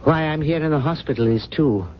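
An actor playing a devoted, dying older butler in a radio drama, speaking in a grave, courteous and earnest way. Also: male voice, on the high side, fairly quick, natural voice. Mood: resigned. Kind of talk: 1970s radio drama acting. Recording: dull, noisy 1970s radio recording.

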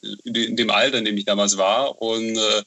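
Male interview guest from Bonn, casual and enthusiastic, bright tone, reminiscing conversationally on a muffled recording. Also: lowish voice, steady tone, fairly quick. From Bonn, western Germany. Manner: enthusiastic, chatty, seeks agreement with tag questions. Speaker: interview guest, novel author.

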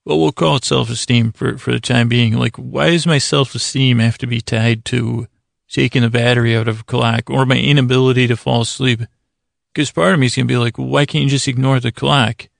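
A man hosting a sleep podcast in a gentle, soothing way, with halting, fumbling, meandering monologue that keeps interrupting itself. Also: medium pitch, fairly even intonation, gravelly, no room sound, studio quality. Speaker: podcast host. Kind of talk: rambling bedtime monologue to lull listeners to sleep.

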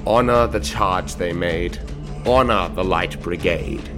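A man's voice: deep voice, slow. A man giving a solemn, declamatory dramatic recitation of poetry. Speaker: poetry reader. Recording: music clearly under the voice. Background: music.

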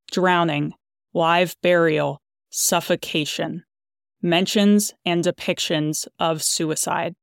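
A scripted announcer voice with a smooth, clear tone. It moves slowly from phrase to phrase in a dry, close recording. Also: mid-range voice, no room sound. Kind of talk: flat scripted content-warning reading.

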